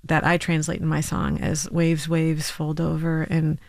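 Woman in her thirties, musician guest, slight vocal fry, dry close sound, thoughtful and hesitant. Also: deep voice, level tone, measured pace, darkish tone, no room sound. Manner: reflective, hedging with many restarts and "like". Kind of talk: radio interview.

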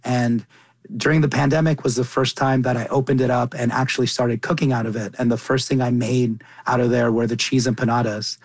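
A man's voice: medium pitch, little pitch movement, brisk, no room sound. A young man from Qatar, a chef and cookbook author, talking earnestly as a radio interview guest and often restarting his sentences. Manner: earnest, with frequent restarts and repeated words.

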